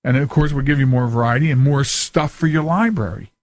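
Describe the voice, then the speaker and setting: A man's voice: medium pitch, brisk, no room sound. A man in his forties hosting a casual, jokey interview as he chats with his guest. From United States, Philadelphia area. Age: 40s. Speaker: show host.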